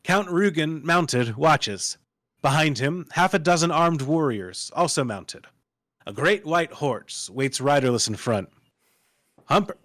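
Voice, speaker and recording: A man's voice: on the high side, a bit bright, no echo. Man narrating a script, dry sound. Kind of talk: scripted narration of screenplay stage directions.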